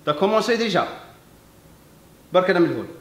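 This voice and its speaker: A man, a French speaker, talking earnestly to camera as a fitness coach, emphatic and halting, slipping between French and Arabic. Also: sits high, animated, fairly bright tone. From Algeria. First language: English. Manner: emphatic, halting non-native French with repeats. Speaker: fitness coach and video host. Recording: echoey room.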